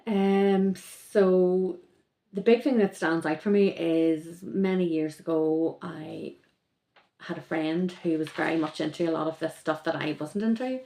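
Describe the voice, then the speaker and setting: A woman talks casually as a guest on a podcast. Her voice sits low and has a gravelly grain. She rambles and restarts her sentences, and the room around her rings with echo. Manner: rambling, self-correcting, lively. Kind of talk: casual conversation.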